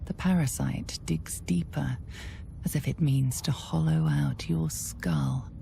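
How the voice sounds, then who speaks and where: An actress playing a grave storyteller in a fantasy game, narrating in a hushed, deliberate, dramatic way. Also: female voice, deep voice, creaky, in a whisper, full character voice. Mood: grave, intense. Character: grave, ominous female storyteller of a dark fantasy. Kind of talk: scripted second-person game narration.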